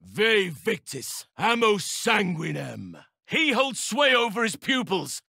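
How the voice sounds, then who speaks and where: A fierce, strained, high-pitched druid warrior. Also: male voice, very animated, takes it slowly, gravelly, husky, no room sound, studio quality, heavily acted. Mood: battle-charged. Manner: declamatory, forceful. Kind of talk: fantasy video game battle cries and incantations.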